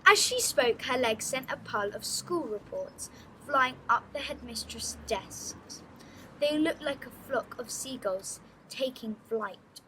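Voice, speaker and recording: A woman reading a children's book aloud as an expressive storytelling narrator. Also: sits high, takes it slowly, a little hiss or hum, low-quality recording.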